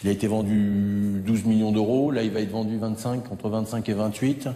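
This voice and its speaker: A man's voice: fairly low pitch, level tone, moderate pace, warm tone, roomy sound, big-room sound. A man arguing informally as a football pundit. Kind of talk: informal sports debate.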